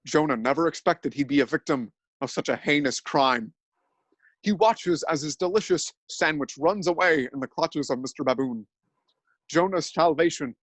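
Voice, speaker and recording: Guy reading absurd story all mock-serious, kinda high voice, dry close mic. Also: fairly bright tone, no echo, low-quality recording. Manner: mock-dramatic. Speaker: narrator. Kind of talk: deadpan absurdist narration.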